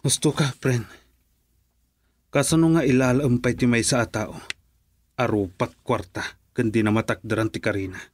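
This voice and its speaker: An actor playing an earnest, heartbroken poor young farmer in an Ilocano Filipino radio drama. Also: male voice, medium pitch, steady tone, normal speed, long pauses, no echo, natural voice. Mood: dejected.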